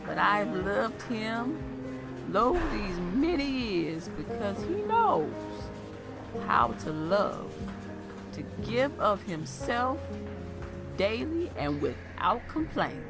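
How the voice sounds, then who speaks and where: A woman's voice: medium pitch, sing-song, gravelly, music in the background, exaggerated voice. A woman playing a loving, contented old wife looking back fondly in an audio drama monologue. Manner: measured, fond.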